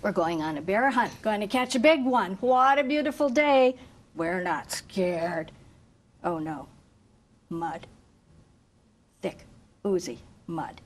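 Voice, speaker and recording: A woman reading a picture book aloud to children in an expressive, chanting, sing-song way, engaging them with questions like a teacher. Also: medium pitch, slow, long pauses. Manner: asks listeners questions like a teacher. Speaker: volunteer story reader for children.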